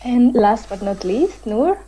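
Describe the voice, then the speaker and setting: A woman's voice: on the high side, very animated, slow, warm tone, slightly rough. A woman hosting an online panel discussion over a video call, hesitant, with many fillers. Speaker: session host.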